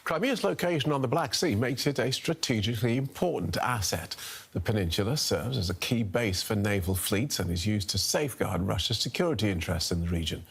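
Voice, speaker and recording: A male news anchor reading a scripted introduction in a measured, formal way. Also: lowish voice, creaky, slightly rough.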